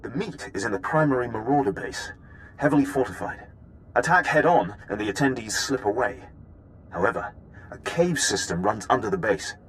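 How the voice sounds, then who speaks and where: An actor playing a cautious military intelligence officer in a video game, giving a formal, precise briefing. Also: male voice, medium pitch, expressive, normal speed, a little hiss or hum, natural voice, poor audio. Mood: measured, strategic.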